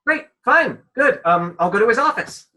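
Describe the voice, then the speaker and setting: A woman's voice: a little high, lively intonation, normal speed, sharp tone. A woman playing a flustered, officious fairy tale narrator in a comedic radio play, exasperated and pompous. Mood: flustered, pleading. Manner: exasperated, hesitant when pleading, pompous when commanding.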